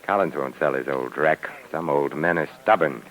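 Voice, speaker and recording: A man playing a suave, sardonic gentleman adventurer in a 1950s radio detective drama, urbane and coolly confident, with dry, mocking wit. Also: rumbling low, moderate pace. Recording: vintage 1950s radio recording.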